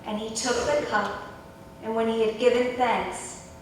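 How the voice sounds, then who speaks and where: A woman's voice: steady tone, a little slow. A woman narrating scripture as part of a dramatic reading in a church. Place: church during a service. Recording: echoey church hall, distant mic, rumbly noise.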